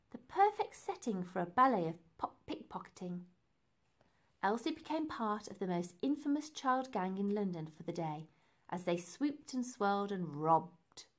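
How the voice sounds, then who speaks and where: A woman reading a children's book aloud in an animated way, with dramatic emphasis for young listeners. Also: mid-range voice, normal speed. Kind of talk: expressive storybook narration.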